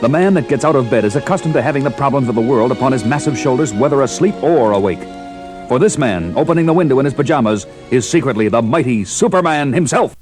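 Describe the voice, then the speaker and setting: A portentous storyteller of superhero adventure acted by a man. His mid-pitched voice rises and falls dramatically. Music runs clearly beneath the narration. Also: expressive, fast talker, close to an everyday voice. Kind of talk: old audio drama narration.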